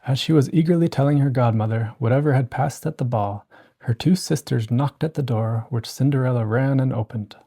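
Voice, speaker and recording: A gentle storyteller reading a bedtime fairy tale. The tone is dark and relaxed, with soft edges suited to winding down. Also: mid-range voice, a little fast, husky.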